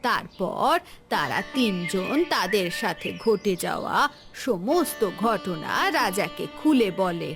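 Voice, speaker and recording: A woman plays a kindly grandmother narrating a cartoon fairy tale. She goes slowly with plenty of pitch movement, over faint music. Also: expressive. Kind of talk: scripted children's storytelling.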